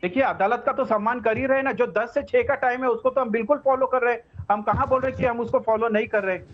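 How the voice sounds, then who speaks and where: A dull, lo-fi remote feed carries a high-pitched man. He is a political spokesman in a live TV debate, defensive and repetitive as he explains his position. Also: fast talker, slight background noise. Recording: dull, band-limited remote audio feed.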